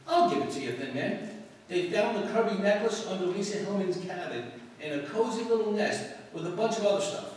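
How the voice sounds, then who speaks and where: A man's voice: high-pitched, expressive, fairly quick, darkish tone. A man playing a wisecracking newspaper reporter in 1940s radio drama, casual and wry. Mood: jaunty, wry. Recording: echoey hall, distant mic, faint noise.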